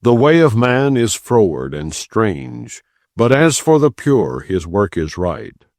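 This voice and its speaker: A man reading scripture as an audiobook narrator, in a measured, solemn, non-dramatized style. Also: medium pitch, sing-song, creaky, a little mellow, no echo, clean studio sound.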